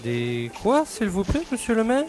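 An actor playing an obedient, slow-witted village officer in an audio drama, deferential and dutiful. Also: male voice, high voice, huge pitch swings, darkish tone, heavily acted. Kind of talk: French audio drama acting.

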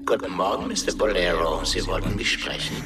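An actor playing a calmly superior, mock-courteous, mysterious businessman back from deep space in a German radio drama. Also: a little fast, creaky. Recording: distant voice under loud music.

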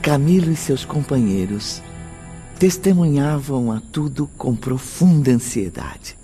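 Narrator: Brazilian man, mid register, formal literary radio drama read, music bed clearly present. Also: medium pitch, expressive, measured pace, dark tone. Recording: music behind the voice.

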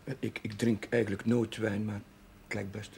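A young man playing a shy, earnest curate in a television period drama, halting, polite and deferential. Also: on the low side, little pitch movement, vocal fry, darkish tone. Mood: awkward. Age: young adult. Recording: faint noise on old TV audio.

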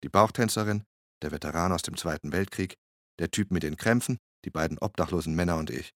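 A man's voice: deep voice, fairly quick, no echo, studio quality, lightly acted. An actor playing a male hurricane survivor and photographer who recounts his rescue as a plain first-person radio drama monologue. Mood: recounting, weary.

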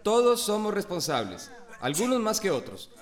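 High-voiced earnest meeting chairman. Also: huge pitch swings, moderate pace. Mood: exasperated, firm. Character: earnest, fair-minded village meeting chairman. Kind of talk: radio drama acting. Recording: noisy crowd behind.

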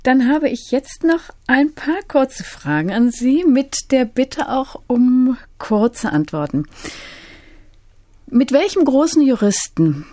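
A woman's voice: sits high, sing-song, slow pace, mellow, dry recording. Courteous woman interviewer, dry studio sound. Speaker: radio interview host. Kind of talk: prepared radio interview questions.

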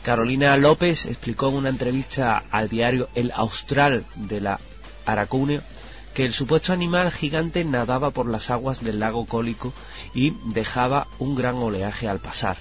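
A man's voice: medium pitch, moderate pace. A man reading scripted news items on a radio show. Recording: dull radio sound with music. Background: background music.

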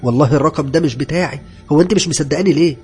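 A man's voice: mid-range voice, lively intonation, racing, darkish tone, breathy edge, faint music behind. A man with an Egyptian Arabic accent voicing a flustered young female housekeeper who protests and insists. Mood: pleading.

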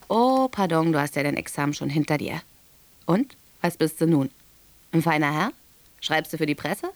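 Cheeky, mocking schoolgirl reading out her letter, fairly low voice, close dry sound. Radio play. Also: no room sound. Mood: teasing. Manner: cheeky, colloquial. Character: pert, mocking teenage schoolgirl youth secretary writing a letter. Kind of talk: German radio drama monologue.

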